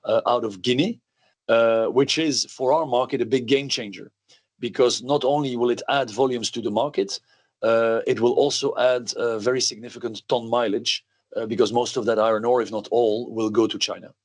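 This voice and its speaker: A male company executive answering questions on an earnings call in a measured, explanatory, businesslike way. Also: medium pitch, fairly even intonation.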